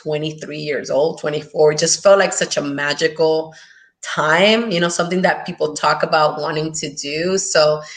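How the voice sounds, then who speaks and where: Woman guest in her thirties from the Dominican Republic, earbud mic on a video call, slightly low voice, eager and laughing. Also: steady tone, normal speed. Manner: enthusiastic, laughs often, fills with "like". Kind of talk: casual interview chat. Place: home room, on a video call. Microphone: wired earbuds with mic.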